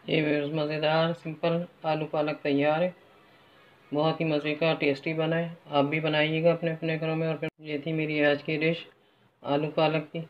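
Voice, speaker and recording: Lady doing a casual cooking vlog, pretty flat pitch, muffled audio w sizzling pan behind her. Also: moderate pace. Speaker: home cooking vlogger. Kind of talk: casual recipe narration while cooking. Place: home kitchen, indoors. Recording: dull phone audio, loud frying sizzle. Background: frying, sizzling and stirring.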